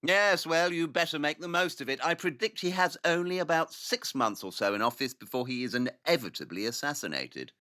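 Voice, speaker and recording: An actor playing an aloof, sardonic Victorian detective in an audio drama, speaking in a dry, haughty manner. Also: male voice, on the high side, lively intonation, brisk, hardly any pauses, bright, forceful tone. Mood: dryly predicting.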